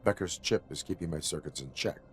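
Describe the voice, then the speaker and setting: An actor playing a grim, dutiful cyborg police officer in a video game, speaking in a stiff, formal deadpan. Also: male voice, low voice, brisk, faint music behind, natural voice. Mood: stoic, flat.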